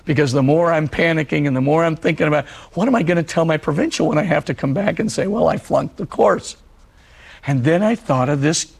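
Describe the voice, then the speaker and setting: A middle-aged man, a priest, giving a televised religious talk in an earnest storytelling way; his voice is husky and hoarse because he is losing it. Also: fairly high pitch. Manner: earnest storytelling preacher, repeats phrases for emphasis.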